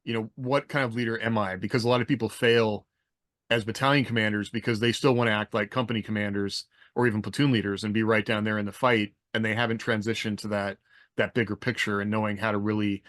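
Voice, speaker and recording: A man's voice: fairly low pitch, fairly even intonation, fast, fairly bright tone, no echo, clean studio sound. A man talking casually on a podcast panel as a military veteran who writes.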